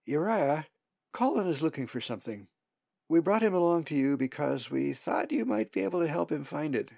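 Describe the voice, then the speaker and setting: A man doing a calm, helpful raven. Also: on the high side, moderate pace, vocal fry, no room sound, voice pushed up. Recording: dull lo-fi recording.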